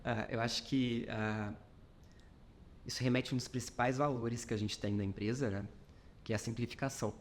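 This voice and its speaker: A man's voice: medium pitch, steady tone, moderate pace, a little hiss or hum. A man with a Minas Gerais accent, a company founder being interviewed on a podcast, speaking reflectively with many fillers and short clauses. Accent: Minas Gerais Brazilian Portuguese. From Brazil.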